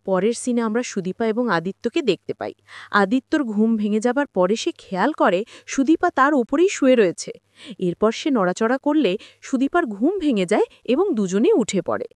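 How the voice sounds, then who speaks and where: High-pitched, animated woman narrator in a dry recording. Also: moderate pace, dry recording. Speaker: narrator of TV serial episode recaps. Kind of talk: scripted story recap narration with acted-out dialogue.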